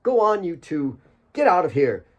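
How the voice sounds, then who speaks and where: A man's voice: on the high side, big pitch swings, moderate pace, voice pushed up. A man voicing a spirited, teasing young woman in an audiobook, playfully bossy and affectionate. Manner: playful, commanding.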